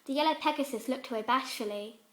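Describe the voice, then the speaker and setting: Girl-pitched woman reading out a fanfic, storybook narration style. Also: fast, reverberant. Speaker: fan fiction reader.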